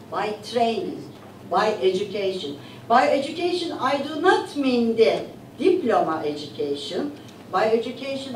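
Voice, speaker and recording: Older woman lecturing a room, highish voice, far off and echoey. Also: slight background noise. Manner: animated, poses rhetorical questions to audience. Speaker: panelist, academic. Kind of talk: lecture-style panel talk. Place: conference room with audience. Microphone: podium mic, recorded from far camera. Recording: roomy hall audio from far off.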